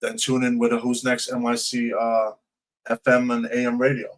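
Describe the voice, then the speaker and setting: Even-toned man co-hosting live radio. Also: medium pitch, normal speed. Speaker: radio co-host and DJ. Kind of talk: casual live radio talk and station promotion.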